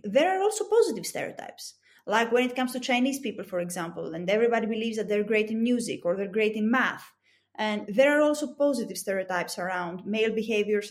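A woman with a Greek accent giving methodical, explanatory answers with examples as an interview guest. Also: mid-range voice. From Greece. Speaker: interview guest, business coach and psychology expert.

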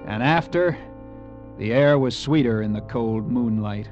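Music runs strongly behind a man playing a resentful cavalry lieutenant. His voice is a little high for a man, and he speaks in a bitter, measured, literary way, like the narrator of an old-time radio adventure. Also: dull audio, close to an everyday voice. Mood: brooding. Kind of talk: 1950s radio drama acting, brooding first-person narration. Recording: vintage radio broadcast with musical underscore.

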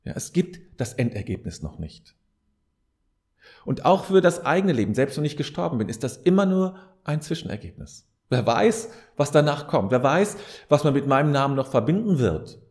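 A man's voice: mid-range voice, big pitch swings, moderate pace, darkish tone. A man giving a reflective advice monologue as a podcast host, speaking straight to the listener in an earnest, preacher-like way and repeating key phrases for emphasis.

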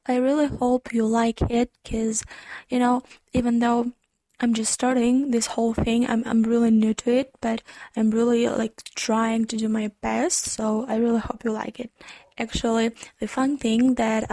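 A woman with a Ukrainian accent hosting her own podcast from her room, speaking gently and earnestly with many hesitant restarts. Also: on the high side, level tone, a little slow, no echo. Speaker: podcast host. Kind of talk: casual solo podcast talk.

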